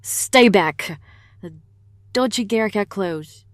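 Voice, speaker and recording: A woman's voice: mid-range voice, expressive, slow, background noise. An actress playing a guarded, blunt woman investigator in an audio drama, speaking warily. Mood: hostile.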